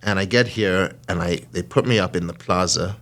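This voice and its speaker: A man with a British accent and a very low voice tells his story in a relaxed conversational podcast. He is animated and drops into quoted lines from other people. He sounds like he is in his early forties. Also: moderate pace, creaky. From South Africa. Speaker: hotel and casino CEO. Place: small podcast studio.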